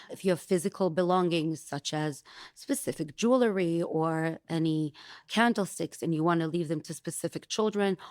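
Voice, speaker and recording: A woman attorney calmly lays out her points, walking through examples one by one. Her voice sits low for a woman, and the sound is close and dry. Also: no room sound. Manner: explanatory, methodical, gives examples. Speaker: attorney guest. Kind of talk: expert interview explanation.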